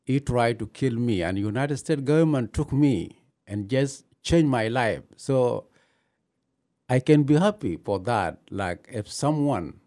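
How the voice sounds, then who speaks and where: A man from Ethiopia, telling his own story in halting, with many pauses. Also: mid-range voice, dark tone. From Gambela, Ethiopia. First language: not English. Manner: halting non-native English, many pauses. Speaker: interviewee, new citizen. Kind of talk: personal story in an interview.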